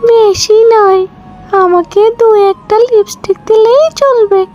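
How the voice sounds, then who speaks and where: Woman as a wistful small girl, plaintive, high squeaky pitch, cartoon style, unhurried. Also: a little mellow, lo-fi sound, soft background music. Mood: hopeful. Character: wistful little twin girl pleading for lipstick. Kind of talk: children's cartoon voice acting.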